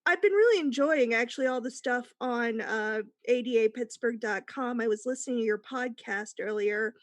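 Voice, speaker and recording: A woman's voice: fairly high pitch, normal speed, no echo, studio quality. A woman panelist in a casual discussion, reflective and given to explaining her points.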